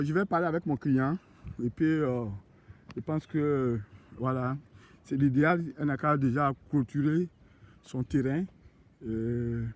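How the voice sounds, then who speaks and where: A man's voice: on the high side, darkish tone. A man working as a real estate agent, giving a casual outdoor tour of land plots, with lots of hesitations and restarts. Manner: hesitant, full of fillers and restarts. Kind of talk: casual walking video tour.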